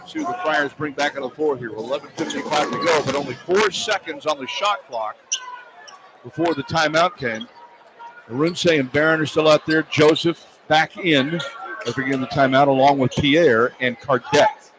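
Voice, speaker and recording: A man's voice: a little high, normal speed, background noise. A man doing play-by-play radio commentary on basketball from courtside, in clipped, running phrases. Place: courtside in an indoor arena.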